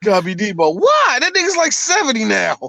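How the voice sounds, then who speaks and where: A man co-hosting a casual podcast over a call, excited and emphatic, swearing freely. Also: very high-pitched, normal speed, creaky, slight background noise. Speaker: podcast co-host. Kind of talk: casual chat.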